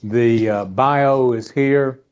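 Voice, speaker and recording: A man hosting a meeting, giving informal announcements and introducing a guest in a halting, easygoing way. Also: mid-range voice, a little mellow, clear, resonant tone, highs cut off. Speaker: club meeting host. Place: indoor meeting room.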